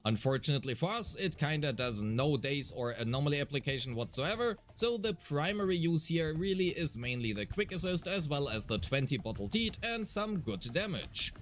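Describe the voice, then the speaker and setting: A man's voice: a little high, animated, moderate pace, few pauses. A man reading scripted explanatory narration as a game guide, detailed and methodical with a mildly wry touch.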